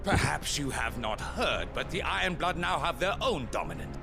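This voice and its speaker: A man's voice: a little high, big pitch swings, moderate pace, gravelly, over music. An actor playing an indignant, anxious nobleman in a cinematic video game, formal and agitated. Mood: wary, stern.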